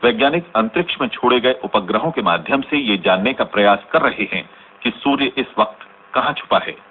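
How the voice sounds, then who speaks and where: An actor playing a grave male news reader, delivering a formal, measured scripted bulletin in a radio drama. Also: mid-range voice, animated, lightly acted. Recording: tinny, band-limited, noisy radio recording.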